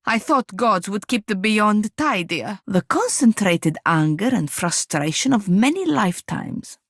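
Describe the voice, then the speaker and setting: An actress playing a haughty, sharp-tongued female wizard in a video game, with dry, impatient, formal delivery. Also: medium pitch, animated, measured pace, dry recording. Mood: dry, aloof. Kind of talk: video game character dialogue and battle barks.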